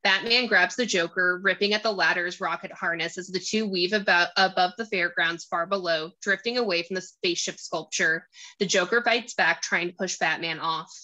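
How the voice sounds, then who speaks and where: A woman's voice: lowish voice, normal speed, sharp tone, poor audio. A woman narrating a screenplay, reading out stage directions and scene descriptions.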